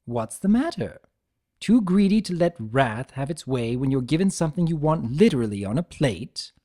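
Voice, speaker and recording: A man's voice: mid-range voice, very animated, moderate pace, dark tone. A man voicing a mischievous, flippant chimera trickster in a theatrical, teasing way for a fan fiction reading. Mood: taunting.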